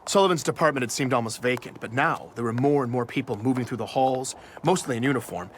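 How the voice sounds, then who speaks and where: An actor playing a nervous investigative journalist in a scripted first-person audio drama. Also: male voice, mid-range voice, a little hiss or hum, natural voice. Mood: measured, uneasy. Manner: measured narration, hesitant in dialogue. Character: nervous male investigative journalist narrating his own story. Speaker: narrator.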